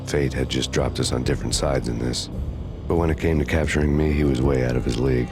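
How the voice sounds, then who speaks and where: A bitter noir cop on the run, super low deadpan voice, brooding narration, music behind him. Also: male voice, fast. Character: world-weary, hard-boiled fugitive male cop, bitter and menacing. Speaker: narrator and lead character.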